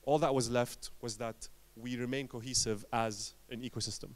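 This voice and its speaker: A man from Lebanon giving a conference talk as an entrepreneur, telling his story in short emphatic phrases with dramatic pauses. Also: medium pitch, steady tone.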